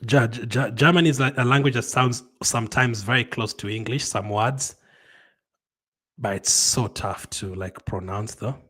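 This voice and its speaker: A man, hosting a casual livestream and answering viewer comments in a conversational, halting way. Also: medium pitch, fairly bright tone, breathy edge. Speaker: livestream host.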